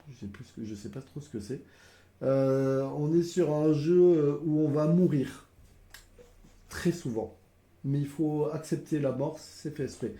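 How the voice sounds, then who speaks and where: A man's voice: on the high side, a little slow, warm tone, reverberant. A man with a cold, explaining board game rules casually to viewers, hesitant and full of fillers. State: has a cold.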